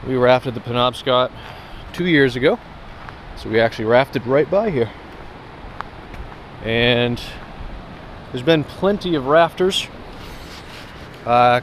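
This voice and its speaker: A man in his thirties talks casually to his camera as he narrates an outdoor trip. His pitch is average. The action-camera recording carries light ambient noise from the outdoors. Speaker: vlogger, hike narrator. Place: outdoors in woods, on trails and riverbank. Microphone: action camera at arm's length.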